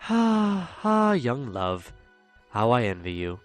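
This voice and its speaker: A man's voice: mid-range voice, huge pitch swings, takes it slowly. Man, theatrical narrator, fourth-wall-breaking, faint music underneath. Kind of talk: theatrical fan audio drama narration.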